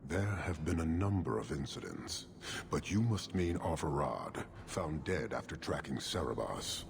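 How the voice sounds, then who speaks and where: Casting: imposing foreign commander, bottomless creaky bass, cold, formal and measured, for a fantasy game. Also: male voice, expressive, a little hiss or hum, exaggerated voice. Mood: cold disdain. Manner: terse, formal, measured, disdainful. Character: stern, imposing horned warrior commander of a foreign creed. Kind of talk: video game cutscene acting.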